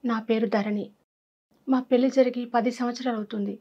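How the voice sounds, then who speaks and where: Female talk show guest, thirties, high voice, giving a personal confession. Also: steady tone, fairly quick, warm tone, husky, lo-fi sound. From Andhra Pradesh, India. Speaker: guest seeking advice.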